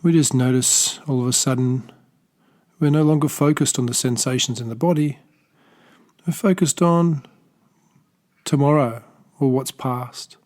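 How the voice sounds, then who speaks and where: A man with an Australian accent gently guiding a body scan meditation, speaking softly and slowly with pauses and small restarts. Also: medium pitch. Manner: slow, soft-spoken, gentle guidance with pauses. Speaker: meditation teacher.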